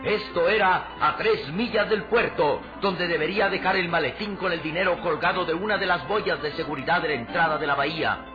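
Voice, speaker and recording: Male narrator, raised pitch, declamatory radio serial style, muffled sound, music underneath. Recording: muffled old radio drama recording. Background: prominent music.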